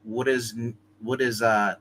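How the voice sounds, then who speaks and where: A man's voice: mid-range voice, unhurried, slight background noise. A man playing in a tabletop roleplay, chatting casually and jokily and throwing in his own sound effects. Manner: animated, jokey, adds vocal sound effects. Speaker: player in a tabletop roleplay game. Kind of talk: casual joking game chat.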